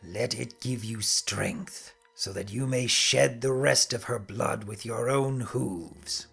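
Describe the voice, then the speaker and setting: A man voicing a smug, sinister pony cult leader who speaks slowly and formally, in a dramatic story reading. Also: mid-range voice, vocal fry, a bit bright, husky, faint music behind, heavily acted. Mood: hushed, reverent. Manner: slow, smug, formal and menacing.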